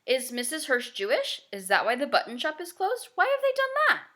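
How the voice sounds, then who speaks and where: A woman's voice: high voice, huge pitch swings, fairly quick, pushed and bright, voice pushed up, full character voice. A woman voicing an earnest, anxious ten-year-old girl in a children's novel, asking emphatic, innocent questions. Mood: puzzled, indignant. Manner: wide-eyed, emphatic questioning. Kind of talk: children's novel read-aloud.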